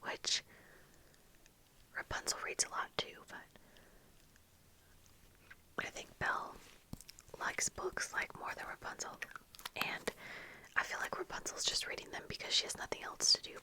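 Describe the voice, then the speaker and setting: A young woman speaking in a breathy whisper, softly and casually rambling in an ASMR style, close to the microphone. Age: young adult. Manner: soft, unhurried, casual rambling. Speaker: ASMR video creator. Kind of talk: whispered ASMR, casual get-ready-with-me chat. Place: small indoor room at home with bookshelves. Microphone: close fluffy-covered microphone, monitored on earphones.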